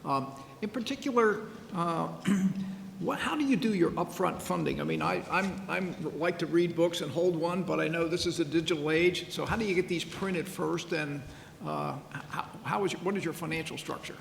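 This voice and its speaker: A man judging a competition, asking questions informally and haltingly in a gravelly voice. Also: a little high. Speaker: competition judge. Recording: echoey hall, faint noise.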